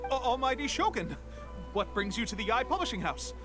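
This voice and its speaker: Nervous bookshop clerk; stammering, deferential, high and jumpy over game score. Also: male voice, big pitch swings, fairly quick, music in the background. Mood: flustered. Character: jittery male publishing house clerk.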